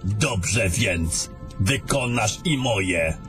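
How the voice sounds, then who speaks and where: A man's voice: fairly low pitch, expressive, drawn-out words, music in the background. A man playing a scornful, domineering battle mage in a fantasy audio drama, with a commanding and coarse way of talking.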